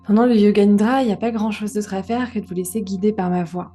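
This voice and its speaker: A woman guiding a relaxation meditation, giving gentle, unhurried instructions with pauses. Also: mid-range voice, steady tone, faint music behind. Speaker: yoga nidra guide.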